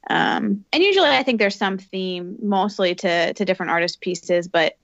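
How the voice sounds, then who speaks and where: A woman's voice: mid-range voice, moderate pace, dry recording. Woman, podcast co-host, thoughtful rambling talk, dry sound, slightly dull recording. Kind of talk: casual podcast chat.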